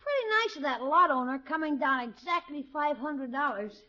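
A man's voice: very high-pitched, normal speed. A man playing a brash, good-hearted young American boy, a slangy wisecracking nephew in a 1950s radio comedy. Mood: earnest, generous. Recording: muffled old radio broadcast.

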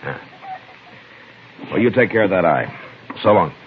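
Deep-voiced, terse old-west American marshal. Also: male voice, lively intonation, unhurried. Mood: terse, grim. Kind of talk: 1950s radio western acting and narration. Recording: muffled, noisy vintage radio recording.

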